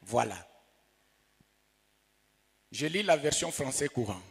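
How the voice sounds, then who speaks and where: A man preaching as a pastor in short, emphatic phrases, pausing for an interpreter and repeating key phrases. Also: medium pitch, sing-song, gravelly, breathy edge. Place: large reverberant indoor room, preaching to a congregation. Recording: reverberant hall.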